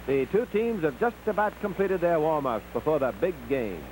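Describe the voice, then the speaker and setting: A man's voice: fairly high pitch, big pitch swings, moderate pace, darkish tone, a little hiss or hum, low-quality recording. A man narrating baseball play-by-play in 1950s newsreel style, on an old recording.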